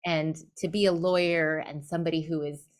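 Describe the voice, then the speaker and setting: A woman's voice: low-pitched, steady tone, fairly quick. Middle-aged Southern California woman guest. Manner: candid, lightly humorous. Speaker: guest, law firm managing partner. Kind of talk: personal storytelling in interview.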